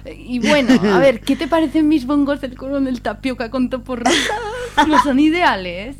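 A woman playing an excitable, posh young woman who gushes over her purchases. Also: high-pitched, normal speed, slight background noise, close to an everyday voice. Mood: excited. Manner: gushing, excited.

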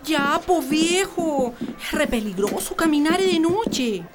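A woman's voice: high voice, lively intonation, takes it slowly, music in the background. An actress playing a worried, nagging country wife and mother in a radio drama, complaining with a rural Chilean accent. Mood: anxious. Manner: complaining, anxious.

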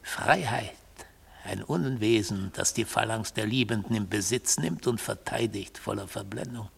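A gravelly, stern philosophiser. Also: male voice, lowish voice, fairly bright tone, exaggerated voice. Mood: grave, obsessive. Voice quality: gravelly, husky. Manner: measured, declamatory, disdainful. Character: stern, mystical accused man, philosophising in court. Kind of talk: 1970s radio drama monologue.

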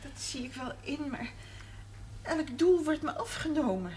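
A woman's voice: sits high, expressive, a little slow, a little mellow. An actress playing a hurt, clinging older mother in a television drama, speaking plaintively and with reproach. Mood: hurt, pleading. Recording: faintly noisy old television soundtrack.

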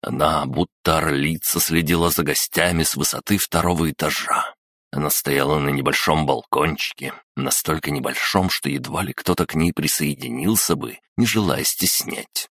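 Male audiobook narrator, extremely deep voice, dry close recording. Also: fairly even intonation, moderate pace, a bit bright, breathy edge, no echo. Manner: reads all characters himself.

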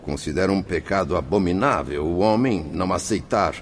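An actor playing a devout, earnest gentleman in a radio drama, courteous and formal while reproving. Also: deep voice, brisk, a little hiss or hum, natural voice.

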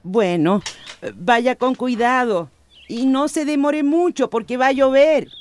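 An actress playing a feisty, devoted, long-suffering housekeeper in a radio drama, speaking with a Central American accent. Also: female voice, high voice, slow pace, a little hiss or hum. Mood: teasing, caring.

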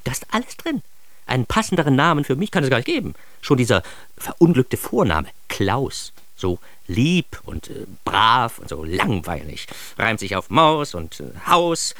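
Wry, neurotic radio-drama narrator: standard German, lowish, very animated, dry close sound. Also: male voice, moderate pace, no echo. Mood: wry indignation. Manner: wry, exasperated, theatrical. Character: self-mocking, neurotic man recounting his own childhood.

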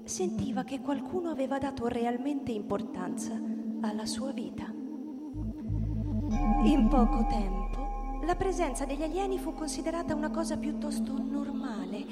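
A woman reads scripted literary narration with measured, storytelling phrasing. Her voice is a little high. She sounds set in a long reverb, with music playing loudly behind her. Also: animated. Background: loud music.

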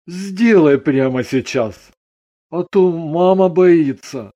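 A man voicing a gruff, wry but steady husband and father in a dramatised story. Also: a little high, takes it slowly, full character voice. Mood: pleading.

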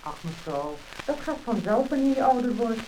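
A woman's voice: fairly high pitch, very animated, normal speed. An actress playing a tactful dressmaker who placates her client, with a Netherlands Dutch accent, in a 1950s scripted drama. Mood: placating. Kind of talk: 1950s scripted radio-style acting. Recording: noisy, crackling mid-century disc recording.

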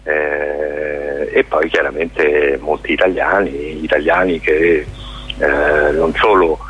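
Deep husky man, halting radio guest. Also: level tone, fairly bright tone. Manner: halting, many fillers and restarts. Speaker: festival director guest. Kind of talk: radio interview. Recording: dull lo-fi radio sound.

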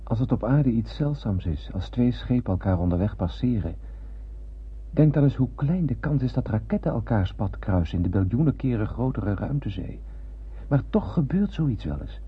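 An actor playing a sombre crewman who narrates a doomed space voyage in a literary radio drama, husky and breathy. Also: mid-range voice, animated, moderate pace, lo-fi sound. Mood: sombre, wistful.

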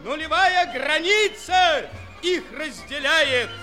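A boisterous herald from a children's audio play, proclaiming rhymes in a very high, bright voice. He stretches his words out theatrically and very slowly, with faint music behind him in an old 1960s studio recording. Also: animated, full character voice. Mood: grandly proclaiming. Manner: declamatory, theatrical, drawn-out proclaiming. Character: boisterous rhyming male tournament herald in a fairy tale.

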